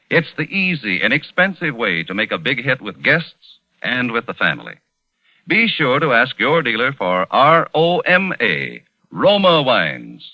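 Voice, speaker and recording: A man's voice: mid-range voice, bright, forceful tone. A man reading a scripted 1940s radio advertisement with polished, emphatic salesmanship. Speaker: radio commercial announcer. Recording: dull, echoing old radio recording.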